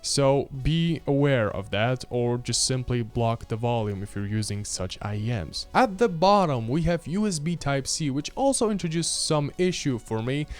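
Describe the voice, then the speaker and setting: A man's voice: medium pitch, expressive, moderate pace, few pauses, soft background music. A man in his twenties with a Polish accent, reviewing a product casually to camera with informal, wry asides. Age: 20s. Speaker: video host, product reviewer. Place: small indoor room. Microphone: handheld microphone held near the chest.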